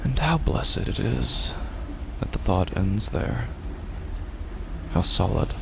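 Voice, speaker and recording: Very deep gravelly brooding man, acted. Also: animated, background noise, full character voice. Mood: resigned. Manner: hesitant, halting, brooding, repeats himself. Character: weary, confused man clinging to rigid rules, narrating his night. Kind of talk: first-person horror audio drama monologue.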